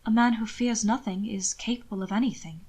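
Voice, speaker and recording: A woman's voice: a little high, steady tone, brisk, a little mellow, breathy edge. A woman voicing a prim, wary, sardonic young husband who speaks formally in an audiobook story. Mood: guarded.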